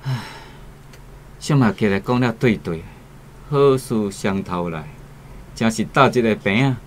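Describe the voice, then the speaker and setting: A man's voice: lowish voice, a little slow, slight background noise, lightly acted. An actor with a Taiwanese accent playing a resigned, plain country father in a radio drama, weary and sighing.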